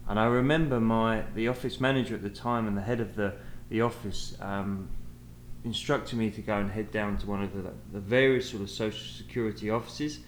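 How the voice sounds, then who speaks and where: A British man answers interview questions in a hesitant, conversational way, stopping to restart his sentences. His voice sits at an ordinary pitch for a man. The room is echoey, with a faint background hiss. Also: fairly even intonation, brisk. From England. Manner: hesitant, many fillers and restarts. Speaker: guest, sales manager.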